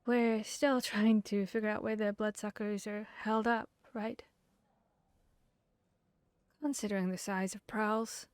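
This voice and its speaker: A woman acting out a studious fantasy vampire hunter in an ASMR roleplay. She has a medium-pitched voice and thinks aloud in an earnest, slightly hesitant, conversational way. The recording is dry, with hardly any room sound. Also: level tone, a little slow, long pauses, darkish tone, smooth, no room sound, lightly acted. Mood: earnest explaining.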